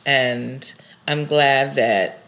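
A woman speaks in a measured, reflective way, with a gravelly fry under her voice. She sounds like a guest in an unhurried interview, and her phrasing is calm and spiritual. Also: a bass voice. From United States. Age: 60s. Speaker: interview guest, singer of Orisha chants.

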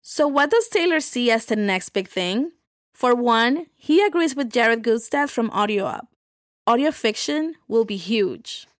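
A woman's voice: fairly high pitch, lively intonation, a little slow, no room sound, studio quality. A woman hosting a podcast, reading scripted narration that links interview clips. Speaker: podcast host.

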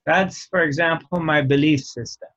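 A man giving a reflective spiritual talk, speaking in short phrases with frequent pauses. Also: on the high side, fairly even intonation, a bit bright. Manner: slow, measured, pausing often between phrases. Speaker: spiritual teacher. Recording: dull lo-fi recording.

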